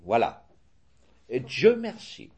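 An older man with a Swiss French accent answering interview questions in a measured, hesitant way, often restarting and correcting himself. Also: medium pitch, wildly swooping pitch, darkish tone, slightly rough.

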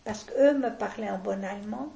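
Older woman, very gravelly and mellow, opinionated and deliberate, echoey room. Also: medium pitch. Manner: deliberate, opinionated, frequent restarts. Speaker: interviewee. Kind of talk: casual reminiscing interview.

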